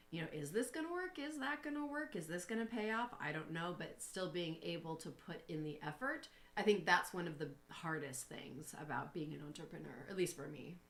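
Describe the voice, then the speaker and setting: Low-voiced woman with a sore throat. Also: a bit bright, roomy sound. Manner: candid and reflective, with fillers. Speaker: co-host and coach. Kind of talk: casual conversation.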